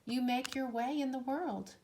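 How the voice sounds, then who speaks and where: A woman voicing a gentle, wise mother bat in a storybook, speaking softly and soothingly to her child. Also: high-pitched, fairly even intonation, moderate pace, slight background noise, pitched above the performer's own voice. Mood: tender, reassuring. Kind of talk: storybook read-aloud.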